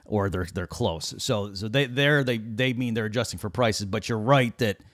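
A middle-aged man talking economics in a casual discussion, speaking from a small room into a boom-arm studio mic on a video call. He is animated and mocks the people he quotes by lifting his voice. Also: moderate pace, dry recording. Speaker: economist guest.

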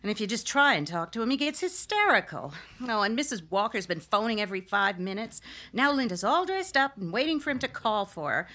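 A woman's voice: on the high side, sing-song, fairly quick, pushed and bright. An actress playing an exasperated, anxious 1950s housewife and mother in a radio sitcom, fretful and emphatic. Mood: fretful, pleading.

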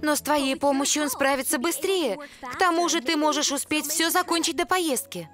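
An actress voicing a gentle, persuasive teenage girl angel in a cartoon dub. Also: high voice, moderate pace, music in the background, close to an everyday voice. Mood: pleading.

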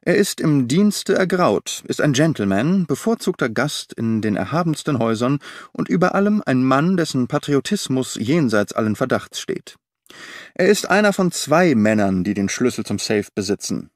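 A man reading the part of a well-informed government official giving a measured briefing in an audiobook. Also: medium pitch, very animated, dry recording, studio quality, close to an everyday voice.

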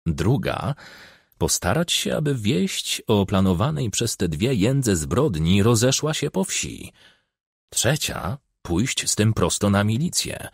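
Gravelly male audiobook narrator. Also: lowish voice, dry recording, clean studio sound. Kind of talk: scripted narration of a crime novel.

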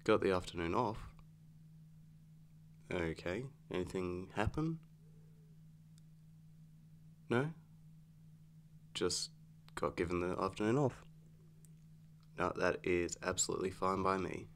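A man acting a weary, easygoing werewolf boyfriend in an ASMR audio roleplay, speaking in a halting, casual, understated way with dry affection. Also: low-pitched. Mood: relaxed, curious. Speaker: roleplay voice actor. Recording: clearly audible background hum.

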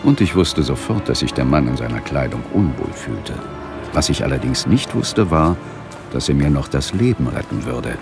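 An actor playing a composed police inspector in an audio drama, matter-of-fact and dry. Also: male voice, very deep voice, very animated, normal speed, gravelly, music in the background, full character voice. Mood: wry reflection. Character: composed, probing male London police inspector. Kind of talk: German radio play dialogue and narration.

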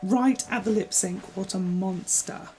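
A man's voice: high-pitched, a little slow, mellow, slight background noise. A man playing a panicky, sarcastic young man in a fan-made audio drama.

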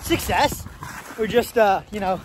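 A man's voice: high-pitched, measured pace. A young man vlogging in casual, slangy, joking chat and commentary outdoors. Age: young adult. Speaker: vlog host, skier. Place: outdoors on a ski hill. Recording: outdoor phone recording with noisy wind.

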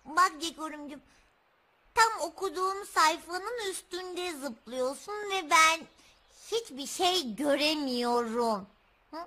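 Very high, sweet puppet girl. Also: animated, slow pace, heavily acted. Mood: patient explaining. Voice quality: high, childlike, bright. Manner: coaxing, full of endearments. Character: sweet little puppet girl, affectionate and patient. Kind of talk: children's TV puppet show acting.

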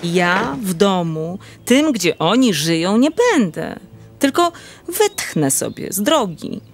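An actress playing a lovelorn, melodramatic countess in a verse radio play, with poetic, drawn-out delivery. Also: female voice, mid-range voice, sing-song, exaggerated voice. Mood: exalted, hurt. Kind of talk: theatrical verse drama acting.

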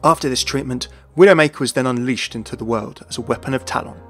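Male narrator, mid-pitched and brisk, scripted game lore delivery over a faint music bed.

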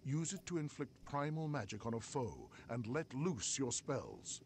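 A wise old-world mentor from a fantasy game delivers measured, solemn instruction. His pitch moves in a lively way through each line. A faint noise sits under the game audio. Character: wise male mentor handing over a magic weapon.

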